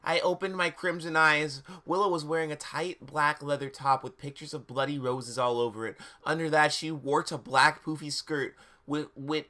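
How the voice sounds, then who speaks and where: Bright voice, livestream reader, comic dramatic narration, mocking asides, theatrical, casual. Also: medium pitch, fairly even intonation, moderate pace, hardly any pauses. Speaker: livestream host reading fan fiction.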